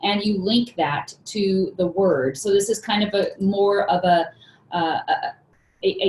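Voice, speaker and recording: A woman's voice: fairly low pitch, darkish tone, echoey room, a little hiss or hum, low-quality recording. A woman presenting a teaching webinar in a conversational way, with many fillers and restarts.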